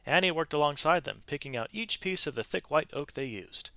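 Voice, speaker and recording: Bright-voiced man reading a storybook aloud. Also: a little high, expressive, fairly quick, vocal fry, dry recording. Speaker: read-aloud narrator, teacher reading to students. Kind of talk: expressive storybook read-aloud with brief asides to listeners.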